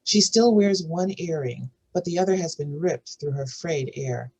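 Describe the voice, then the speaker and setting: A woman with a husky voice narrating screenplay stage directions in a scripted reading. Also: low-pitched, expressive, moderate pace, a little mellow, highs cut off.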